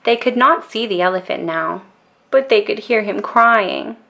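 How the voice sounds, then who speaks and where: A woman storyteller narrating a children's bedtime book in a calm, expressive and deliberate way. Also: mid-range voice, gravelly, muffled recording.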